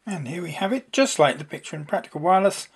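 A man's voice: high-pitched, expressive, fast talker, husky. A man presenting a casual, unscripted hands-on demonstration, explaining as he goes with some hesitancy. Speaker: presenter demonstrating a homemade radio.

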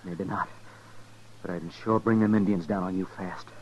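A man's voice: low voice, steady tone, normal speed, natural voice. An American man playing a cornered frontier gun smuggler, defiant but fearful, with folksy speech, in 1950s radio western style. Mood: wary, defiant. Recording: muffled, noisy 1950s radio recording.

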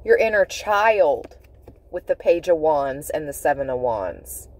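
A woman giving an intuitive tarot reading, speaking in deliberate, halting phrases with emphatic repetition. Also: low voice, big pitch swings. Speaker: tarot reader. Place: inside a parked car.

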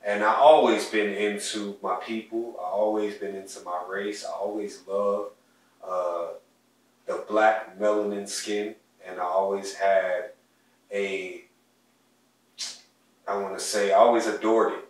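A man's voice: lowish voice, level tone, a little slow, recorded from a distance. A young man talking earnestly as a podcast co-host, sharing personal stories in a casual chat at home. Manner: earnest, heartfelt, speaks of his soul and ancestors. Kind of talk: casual conversational storytelling. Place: home living room, indoors. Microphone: distant mic on a stand, wide camera. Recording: echoey room audio picked up from afar.

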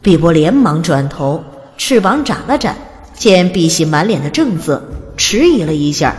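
A woman narrating an audiobook with expressive, gently lilting storytelling. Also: low-pitched, normal speed, in a large hall, faint music behind.

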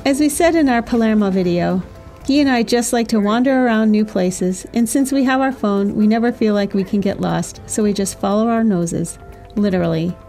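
A woman's voice: mid-range voice, moderate pace, dark tone, faint music behind. A middle-aged woman giving cheerful, chatty scripted voice-over narration for a sailing travel vlog.

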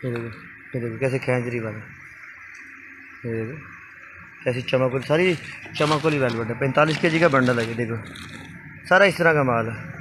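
Young man pitching clothing bundles. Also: background noise. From Pakistan. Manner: repetitive salesman patter, urging viewers to look and call. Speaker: vlogger selling used clothing bundles. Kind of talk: casual sales vlog. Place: small indoor shop full of clothing bundles.

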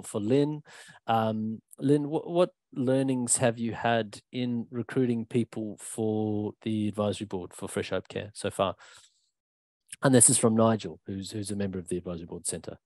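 A man's voice: lowish voice, steady tone, moderate pace, darkish tone, no room sound. A middle-aged man moderating a panel on a video call through a headset, affirming and steering questions.

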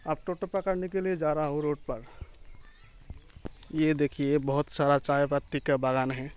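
Young guy walking around a building site explaining stuff to the camera, kinda flat high-ish voice, phone mic outside. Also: moderate pace, clear, resonant tone, lo-fi sound. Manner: plain explanatory, addresses viewers directly. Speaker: construction vlogger. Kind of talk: walking site tour narration. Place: outdoors at a rural bridge construction site. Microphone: phone held at arm's length.

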